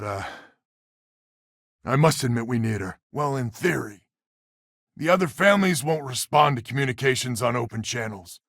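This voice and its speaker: Weary space colonist, video game character, wry and folksy, expressive mid-range voice, very dry studio sound. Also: male voice, mid-range voice, no room sound. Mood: determined. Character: wry, weary male space colonist, head of a settler family.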